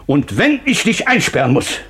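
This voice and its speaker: An actor playing a stern, controlling man who speaks firmly and gravely in a radio crime drama. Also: fairly high pitch, very animated, moderate pace, fairly bright tone, slight background noise. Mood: grave, insistent. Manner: firm, grave, emphatic.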